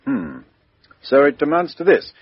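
A brisk police inspector. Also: male voice, on the high side, slightly rough, dry recording. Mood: businesslike. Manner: methodical, businesslike questioning. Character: brisk middle-aged male police inspector. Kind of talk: 1950s radio drama acting. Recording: dull 1950s radio recording.

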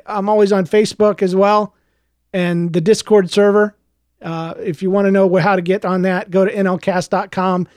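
Gravelly-voiced man reading his own story. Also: sits high, dry recording, studio quality. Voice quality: a little gravelly. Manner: hesitant, many fillers and restarts in chat. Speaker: podcast host and author. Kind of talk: reading his own book aloud, then casual chat.

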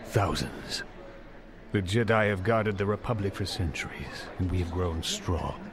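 An actor playing a wise, grave old Jedi master in an audio drama, speaking in a measured, mentoring way. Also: male voice, sits low, crowd noise. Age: older.

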